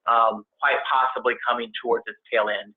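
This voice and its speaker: A man giving a technical investment presentation on a conference call, speaking in a halting, hedging way as an analyst. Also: medium pitch, flat delivery. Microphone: conference call line. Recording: tinny phone-line conference call audio.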